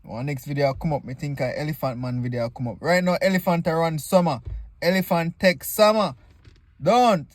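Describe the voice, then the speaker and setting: High-voiced Jamaican man, dry close sound. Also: normal speed, dry recording. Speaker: video host. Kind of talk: casual comic reaction commentary.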